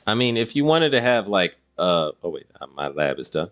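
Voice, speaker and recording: A man's voice: low-pitched, very animated, moderate pace, a bit bright. A man doing casual live-stream game commentary, explaining things to viewers in a rambling way.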